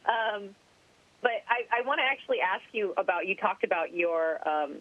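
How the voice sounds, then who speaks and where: A woman hosting a podcast asks an astronaut questions in an excited, chatty way, often saying "like". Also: mid-range voice, normal speed, dry recording, phone-quality sound. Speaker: podcast host and interviewer. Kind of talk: enthusiastic interview questions.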